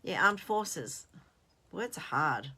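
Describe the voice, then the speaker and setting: A woman's voice: fairly low pitch, expressive, takes it slowly, pushed and bright, breathy edge. An Australian woman in her late fifties hosting her own channel in casual chat, rambling and self-deprecating, with fillers and restarts. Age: 50s. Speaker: host.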